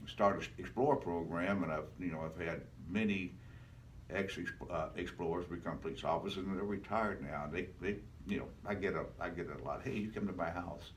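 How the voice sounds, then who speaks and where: Very deep-voiced man in his seventies. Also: a little fast. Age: 70s. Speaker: retired police officer, guest. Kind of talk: casual reminiscing interview talk. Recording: distant mic, faint background noise.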